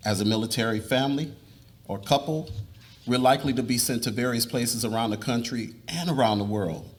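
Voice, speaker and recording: A man playing an earnest, devout young suitor in a live dramatic reading, speaking in a measured, persuasive way. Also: medium pitch, lightly acted. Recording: noisy live room recording.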